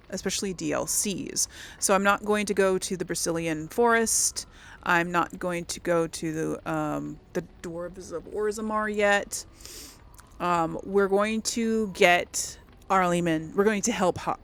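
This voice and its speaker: A woman hosts a let's play with casual commentary. She is chatty and thinks out loud, in a mid-pitched voice with a lot of creaky fry. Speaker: host of a role-play gaming series.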